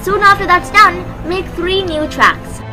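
A woman's voice: extremely high pitch, fairly even intonation, unhurried. A young woman hosting a tutorial, giving scripted step-by-step instructions into a close microphone. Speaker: tutorial host. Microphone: close microphone on a stand. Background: background music.

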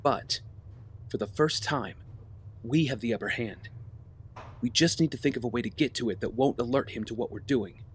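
A man's voice: medium pitch, normal speed, long pauses, a little hiss or hum, close to an everyday voice. A man playing an earnest, burdened young vigilante leader in an audio drama, serious and sincere. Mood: focused, resolute. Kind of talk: naturalistic audio drama acting.

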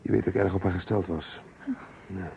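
An actor playing a cool, reserved gentleman with a measured, dry manner in a radio detective drama. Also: deep voice, steady tone, gravelly. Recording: dull, noisy old radio recording.

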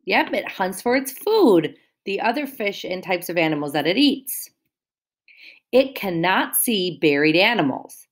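A woman's voice: medium pitch, sing-song, measured pace. A woman teacher reading a picture book aloud to young children, explaining words and asking them questions in a sing-song way.

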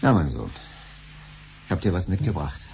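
A man's voice: low voice, big pitch swings, normal speed, vocal fry, background noise, heavily acted. An actor playing a frustrated, pushy middle-aged father in a 1970s German radio play, grumbling and insistent. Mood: coaxing.

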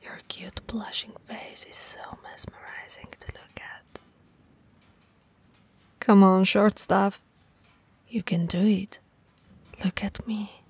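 Female performer as tall teasing shop owner, mellow husky voice, flirty, intimate ASMR roleplay, close mic. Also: mid-range voice, fairly even intonation, no echo, exaggerated voice. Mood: intimate, coaxing. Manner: soft, breathy, slow, intimate teasing. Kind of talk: ASMR romantic roleplay acting. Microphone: close mic, dry.

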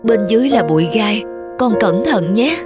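A woman voicing a caring, patient mother chameleon who teaches her young in a children's cartoon, gentle and instructive. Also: a little high, lively intonation, moderate pace, over loud music, natural voice. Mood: tender.

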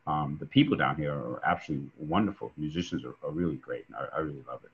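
A middle-aged man, chatting relaxed as a guest on a video call, with many fillers. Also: extremely low pitch, fast talker, slightly rough. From New York. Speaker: guest musician. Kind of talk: casual interview over video call. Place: home room indoors. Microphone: video call webcam. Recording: dull lo-fi call audio.

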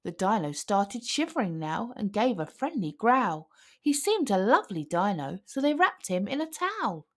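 A woman narrating a children's picture book aloud with an expressive, singsong delivery. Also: mid-range voice, normal speed.

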